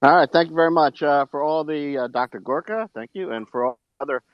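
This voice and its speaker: A middle-aged man calling in to a live audio chat to ask a long, halting question full of fillers. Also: a little high, dry recording. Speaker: caller. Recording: dull lo-fi dry audio.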